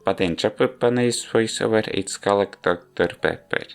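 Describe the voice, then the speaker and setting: A man giving a rambling, halting spoken review of a visual novel in non-native English. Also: fairly low pitch, creaky. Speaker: video game reviewer. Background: background music.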